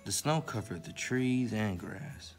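A man's voice: fairly low pitch, lively intonation, takes it slowly, faint music behind. A man hosting a library story time, presenting to children in the manner of a read-aloud and simple how-to.

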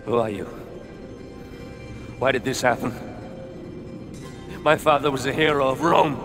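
An actor playing a grim, vengeance-driven Roman soldier, narrating in an intense, brooding manner. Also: male voice, mid-range voice, a little slow, echoey room, big-room sound, music in the background, lightly acted. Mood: demanding. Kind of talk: video game cutscene acting.

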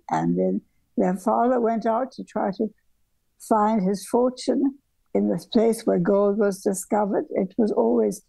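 An older woman from southern Africa reminisces over a video call with a mellow, slightly gravelly voice. She wanders through her stories with many ums and restarts, and the sound is dry and close. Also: on the high side, dry recording, rough recording. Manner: meandering, with frequent restarts and ums. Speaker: guest architect. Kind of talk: reflective conversational storytelling. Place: home bedroom workroom, indoors.

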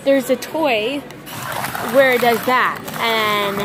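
A woman's voice: on the high side, takes it slowly, over music, poor audio. Young girl rambling through a toy review. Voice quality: raspy. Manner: rambling, distracted, chatty. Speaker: vlogger. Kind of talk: casual toy review vlog. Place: large indoor retail store. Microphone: handheld phone camera.

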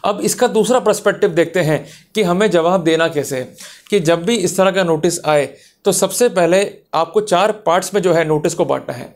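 An Indian man in his thirties speaks in a voice pitched a little above average. He explains like a lecturer, stressing and restating his key points in a didactic way. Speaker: lawyer giving a lecture. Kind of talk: explanatory legal lecture to camera. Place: small office, indoors.

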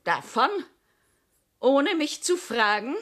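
A woman's voice: sits high, very animated, takes it slowly, fairly bright tone, exaggerated voice. An actress playing a scornful, haughty stepmother queen in a fairy-tale radio play, cutting and commanding. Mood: haughty, indignant. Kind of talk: German radio drama acting.

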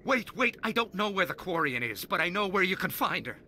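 A man's voice: high voice, very animated, a little fast, sharp tone, a little hiss or hum, heavily acted. A man playing a cowardly crime boss in a video game, pleading and defensive in short urgent bursts. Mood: panicked, pleading. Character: cowardly crime boss begging for his life.

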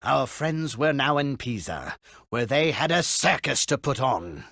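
A man voicing a long-suffering animal adviser who narrates a video game story with dry, theatrical exasperation and a husky voice. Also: mid-range voice, very animated, a little slow, a bit bright, dry recording. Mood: storytelling. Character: prim, long-suffering male aye-aye royal adviser narrating.

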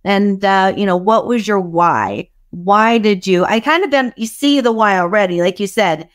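A woman's voice: medium pitch, lively intonation, normal speed. A woman podcast host chatting in a friendly, effusive and enthusiastic way with a guest over a video call. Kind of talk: friendly interview chat. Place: small home office, indoors.

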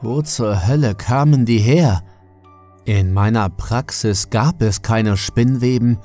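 A man's voice: medium pitch, takes it slowly, warm tone, soft background music. A man reading a scripted first-person horror audiobook as the narrator.

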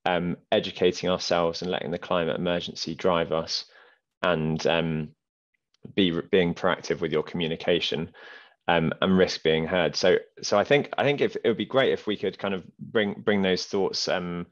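A young man with a very low voice moderates a panel, stopping and restarting his sentences. The headset call audio sounds dull and lo-fi. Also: steady tone, moderate pace. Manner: hesitant, many restarts and fillers. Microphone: headset on a video call.

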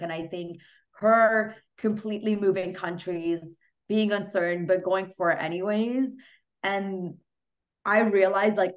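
A young woman from Hungary, a podcast guest, chatting casually in a small home room with lots of "you know" and "like". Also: medium pitch. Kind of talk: casual interview chat. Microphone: laptop webcam mic on a video call. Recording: dull lo-fi video call audio.

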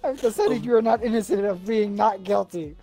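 A man's voice: high voice, a little fast, dark tone. A man reading an absurd comedy script aloud on a livestream, stumbling over and repeating lines.

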